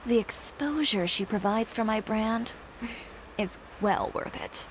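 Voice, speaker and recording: A woman voicing a cool-headed, businesslike designer who speaks in a calm, matter-of-fact way, in a video game. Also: a little high, slow pace, slight background noise, low-quality recording. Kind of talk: game voice acting.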